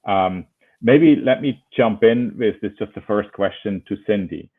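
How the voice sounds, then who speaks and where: A man from Switzerland moderating an online panel over a video call, relaxed and often repeating words while he finds his phrasing. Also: fairly low pitch, a little slow, slightly rough. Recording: tinny phone-like call audio.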